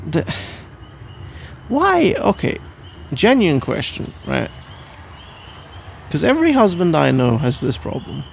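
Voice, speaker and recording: A man's voice: a little high, creaky, a little hiss or hum, full character voice. A man playing a sleepy, grumbling, playful husband in an ASMR roleplay, rambling and bantering with mock indignation. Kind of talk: ASMR roleplay, improvised-sounding one-sided conversation.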